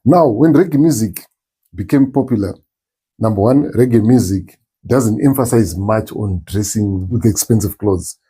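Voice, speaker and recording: A middle-aged man with a strong Zimbabwean accent, answering interview questions thoughtfully with many pauses and restarts. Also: on the low side, mellow, slightly rough. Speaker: interview guest, musician. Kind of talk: relaxed interview talk.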